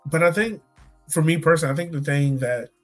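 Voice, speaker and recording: American male podcast co-host, higher-pitched, casual and emphatic, conversational sports talk. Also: fast talker, soft background music. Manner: colloquial, emphatic, repeats points for effect.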